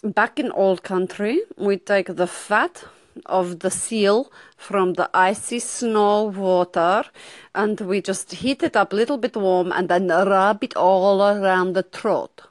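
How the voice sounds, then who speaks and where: Woman acting blunt Russian advice host, theatrical, scolding, slow delivery, broken English, self-loving, dry close sound. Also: medium pitch, steady tone, no room sound, lightly acted. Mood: deadpan, bossy. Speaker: comic advice show host. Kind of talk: improvised comic monologue answering caller messages.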